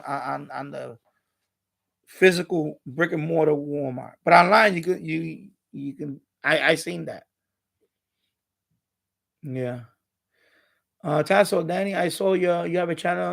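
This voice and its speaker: A man hosting a casual live stream, rambling and repeating himself in halting, unscripted sentences. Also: a little high, gravelly, fairly bright tone. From New York City, USA.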